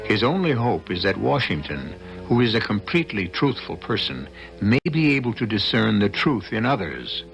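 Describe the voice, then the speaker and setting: A man hosting and narrating a radio mystery in a formal, deliberate, dramatic manner. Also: lowish voice, sing-song, creaky, fairly bright tone, soft background music, exaggerated voice, poor audio. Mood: solemn, ominous. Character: solemn male host narrating a suspense tale. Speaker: host and narrator.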